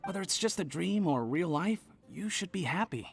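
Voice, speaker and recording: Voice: mid-range voice, expressive, normal speed, creaky, breathy edge. Breezy dream friend. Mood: placating. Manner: breezy, dismissive, placating. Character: easygoing imaginary friend from a dream. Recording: game audio with music bed.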